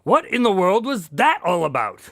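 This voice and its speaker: A man's voice: high voice, big pitch swings, moderate pace, creaky, dry recording, studio quality, heavily acted. A man playing a detective's skeptical doctor sidekick in a radio drama, wry and often exasperated. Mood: incredulous. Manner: wry, incredulous.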